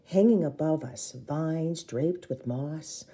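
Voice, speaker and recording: A woman reading a children's book aloud in an expressive, theatrical way, acting out the dialogue. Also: deep voice, slow. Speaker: storybook reader.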